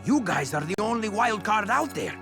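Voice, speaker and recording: A man's voice: high-pitched, big pitch swings, normal speed, over music. A man voicing a chatty, self-important talking parrot who works as a slick sports commentator in a video game. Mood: conspiratorial. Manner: showy, slick, theatrical. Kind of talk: video game cutscene acting.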